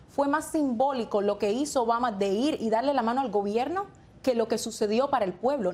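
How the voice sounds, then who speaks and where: Woman, fairly high pitch, firm, emphatic, news debate guest. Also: darkish tone. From Cuba. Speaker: party media director, panel guest.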